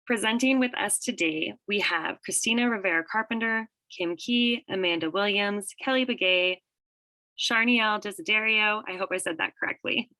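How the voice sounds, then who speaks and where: Bright, crisp woman reading announcements. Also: mid-range voice, normal speed, no echo, rough recording. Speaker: webinar moderator.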